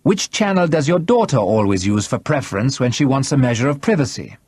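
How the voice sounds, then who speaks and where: A man's voice: medium pitch, big pitch swings, a little fast, a little mellow, husky, dry recording, studio quality, voice pushed up. A man voicing a mocking, coolly menacing male villain in an audiobook, casual, scornful and mock-polite. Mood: smug, cool. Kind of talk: audiobook character acting.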